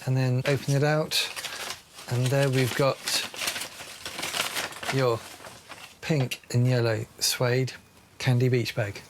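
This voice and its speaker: A man's voice: mid-range voice, flat delivery, takes it slowly, pauses often, noisy background. A man explaining his craft work aloud, unscripted, with dry, self-deprecating humour. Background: music.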